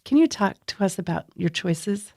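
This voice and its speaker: A woman's voice: medium pitch, animated, normal speed, gravelly, a little mellow. A woman hosting an interview, introducing her guest and putting questions to him. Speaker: host.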